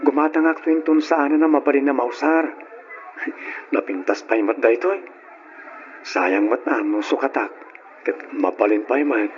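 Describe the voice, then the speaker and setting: Male actor as devoted, easygoing young Filipino boyfriend, mid-pitched, radio drama, dull recording. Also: normal speed, long pauses, natural voice. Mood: easygoing, sheepish. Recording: muffled, slightly noisy old radio recording.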